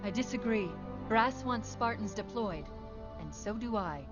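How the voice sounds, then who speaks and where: An actress playing a driven military scientist in an animated sci-fi drama, speaking in a cool, decisive and measured way. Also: female voice, mid-range voice, lightly acted. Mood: resolute, briefing. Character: driven, coolly commanding female military scientist. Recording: dull film audio under loud music.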